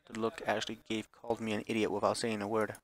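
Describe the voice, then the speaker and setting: A man's voice: lowish voice, monotone, fast talker. A man streaming a visual novel, chatting casually as he plays and reading the text aloud, sometimes stumbling and correcting himself.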